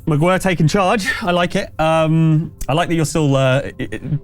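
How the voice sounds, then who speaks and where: Guy game mastering a sci-fi horror rpg, chatty stop-start narration, soft music under it. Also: a little high, no echo. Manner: halting, conversational, pieced-together descriptions.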